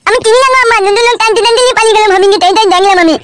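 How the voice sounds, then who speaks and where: Excitable cartoon villager; extremely high squeaky voice, rattling speech, crude comic whine, loud noise behind. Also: female voice, very fast. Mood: alarmed, pleading. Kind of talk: comedy cartoon voice acting.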